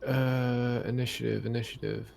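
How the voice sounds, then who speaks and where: A man's voice: mid-range voice, little pitch movement, moderate pace, creaky. A man playing an online tabletop game, chatting casually and hesitantly, thinking aloud. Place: online voice call. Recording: noticeable background noise on voice call.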